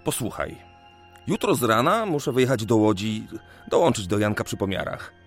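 Ardent young lover, lively pitch. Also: male voice, moderate pace, breathy edge, soft background music. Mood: planning, hopeful. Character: ardent young surveyor reassuring his secret sweetheart. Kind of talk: Polish historical radio drama acting.

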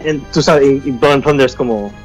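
A man who grew up speaking Spanish at home and now mostly speaks English, chatting as a game designer guest and often pausing to search for words. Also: mid-range voice, faint music behind, poor audio. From United States, Cuban family. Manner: halting, searching for Spanish words, many fillers. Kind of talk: casual interview chat.

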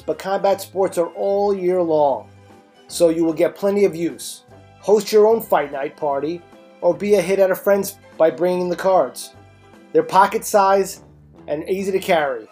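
A man's voice: sits high, darkish tone. A man, a comedian, reading a scripted promotional spot for his own product. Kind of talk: scripted ad read.

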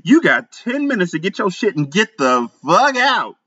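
A man voicing a furious, betrayed wife who curses and speaks slowly and emphatically, as an audiobook narrator. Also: high-pitched, sing-song, pushed and bright, breathy edge, voice pushed up, full character voice. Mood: threatening. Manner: cursing, slow and emphatic. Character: furious betrayed wife, sharp-tongued, commanding. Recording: slightly muffled.

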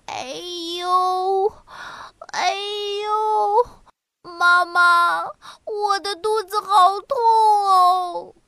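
A woman voicing a small whiny child in a storybook, with a squeaky, drawn-out, wailing delivery. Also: fairly even intonation, gravelly, pushed and bright, no echo, clean studio sound, full character voice. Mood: crying. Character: whiny little child who hates vegetables. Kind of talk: storybook voice acting.

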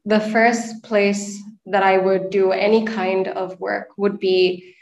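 A woman's voice: medium pitch, little pitch movement, takes it slowly, creaky. Female interview guest, late 20s, from Sri Lanka, conversational, recorded in an echoey space with dull audio. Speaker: podcast guest, nonprofit and social enterprise manager.

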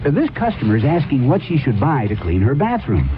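A man's voice: medium pitch, fairly quick. A man playing a chatty, proud supermarket manager telling his story in a radio commercial. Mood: proud, upbeat. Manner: animated, boastful, conversational salesmanship. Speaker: commercial actor. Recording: dull lo-fi old radio, music behind.

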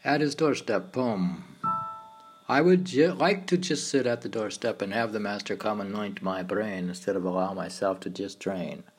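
Guy reading his own poetry, kinda low voice, trips over words and repeats them, faint keyboard music in back. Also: normal speed. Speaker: poet reading own poems. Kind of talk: slow meditative poetry reading. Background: piano and keyboard music.

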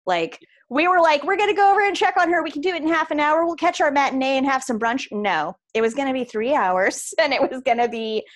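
A woman's voice: high-pitched, fast talker, few pauses. A woman in casual conversation, reflective and chatty, trailing off with fillers.

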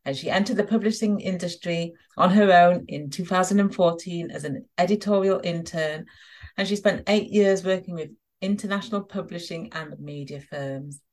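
A woman hosting an interview in a conversational style, speaking in measured phrases with pauses mid-sentence. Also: lowish voice, darkish tone. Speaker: interview host. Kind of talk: conversational hosting, introducing a guest.

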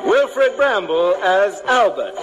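A man's voice: high voice, lively intonation, takes it slowly, loud music behind the voice, rough recording. A man announcing in vintage British broadcast style, with a British accent, on an old radio programme.

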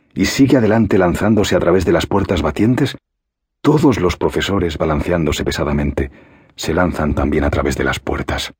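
A wry, imaginative schoolboy. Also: male voice, sits low, a little mellow, husky, dry recording, studio quality, exaggerated voice. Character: observant, imaginative schoolboy Bernard. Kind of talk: audiobook character reading.

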